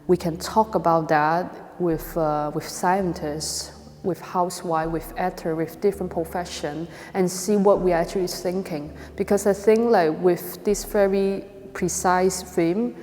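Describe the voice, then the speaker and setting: Mellow woman, Hong Kong accent, reverberant hall. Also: fairly low pitch, steady tone, a little hiss or hum. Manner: hesitant, halting non-native English. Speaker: video and installation artist. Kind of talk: artist talk presenting an exhibition.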